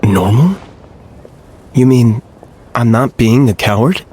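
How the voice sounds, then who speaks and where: Anxious, animated young fighter. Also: male voice, medium pitch, slow pace, slight background noise. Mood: insecure. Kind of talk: video game character acting.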